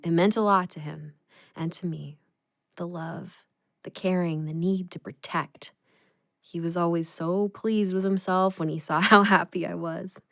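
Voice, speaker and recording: A woman playing a tender, grieving younger sister in an intimate audio drama, speaking in a gentle, wistful way. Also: on the low side, steady tone, measured pace, gravelly.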